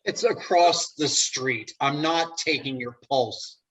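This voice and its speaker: A man's voice: mid-range voice, takes it slowly, a bit bright, rough recording. A man playing an exasperated, reluctant straight man in an office comedy, read dryly and curtly over a video call. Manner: dry, curt. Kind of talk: comic stage script table read. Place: home, online video call.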